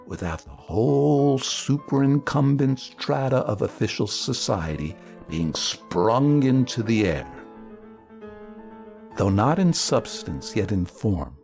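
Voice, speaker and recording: Man giving an animated dramatic reading. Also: mid-range voice. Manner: emphatic, declamatory, oratorical delivery. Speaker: radio presenter reading aloud. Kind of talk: dramatic reading of a political text.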